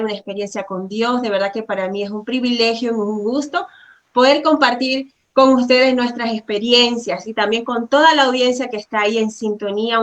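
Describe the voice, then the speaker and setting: A woman's voice: a little high, a bit bright. A woman, a pastor's wife and program guest, sharing personal testimony in a courteous, devout, conversational way with frequent tag questions. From Venezuela.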